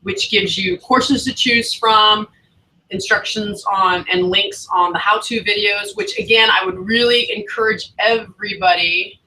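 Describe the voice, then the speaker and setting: A woman's voice: medium pitch, fairly even intonation, unhurried, pushed and bright, far from the mic, a little hiss or hum, rough recording. A woman academic advisor talking casually to new students on a livestream, chatty and encouraging. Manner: chatty, encouraging, loose phrasing.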